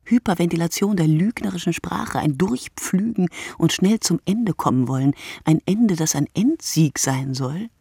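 A woman performing a reflective, sleepless narrator in a literary radio monologue, speaking in measured short phrases. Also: fairly low pitch, lively intonation, vocal fry, mellow, slightly rough, no echo, full character voice. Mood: dry, ironic.

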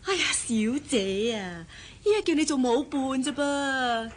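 A woman's voice: sits high, lively intonation, a little slow, slight background noise. A woman acting in a Cantonese radio drama with a Hong Kong accent, playing a nosy, nagging young colleague full of exclamations. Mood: exasperated, envious. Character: gossipy young female colleague, nosy, envious, exasperated friend.

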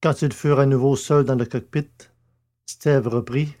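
A man's voice: medium pitch, fairly even intonation, moderate pace, a little mellow. A man narrating an audio fiction story, reading scripted prose as a storyteller.

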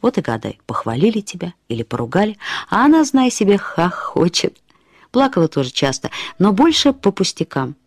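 Выразительная рассказчица с хрипотцой. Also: female voice, lowish voice, normal speed, no room sound. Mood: wry, musing. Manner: expressive, wry, conversational storytelling. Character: reflective woman recalling her flighty, dramatic female friend. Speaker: actress reading a short story as its narrator. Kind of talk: dramatized literary narration. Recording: studio radio play with faint music.

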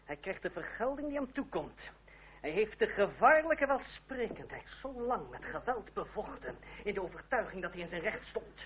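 A narrow, faintly noisy old radio recording. a proud, wounded young revolutionary with a very animated pitch. He is formal, cutting and sardonic, every phrase edged with reproach. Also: normal speed. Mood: sardonic, scornful. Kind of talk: old radio play acting.

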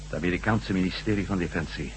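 An actor playing a captured former astronaut in a Dutch radio drama, answering tersely and compliantly in a subdued way. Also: male voice, deep voice, fast, close to an everyday voice. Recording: noisy radio recording with hum.

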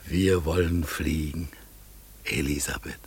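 An actor playing a solemn, mysterious talking raven in a radio play, with a husky, gravelly voice and a slow delivery. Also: male voice, rumbling low, steady tone, heavily acted. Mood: solemn, tender. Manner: slow, solemn, sometimes calling out or whispering. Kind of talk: German radio play acting.

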